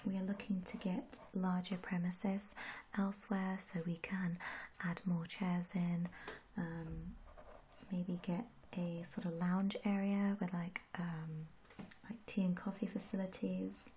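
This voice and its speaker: A young woman in a soft-spoken ASMR roleplay, gentle and reassuring, with a breathy, often whispery voice. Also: fairly low pitch, flat delivery. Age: young adult. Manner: gentle, unhurried, polite reassurance. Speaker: ASMR roleplayer as a tattoo artist. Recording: audible background noise and hiss.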